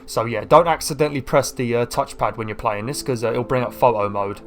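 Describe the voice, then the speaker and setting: A man with a croaky voice, giving rambling casual commentary while playing a video game. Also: medium pitch, fairly quick. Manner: rambling, chatty, self-deprecating. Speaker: gaming YouTuber.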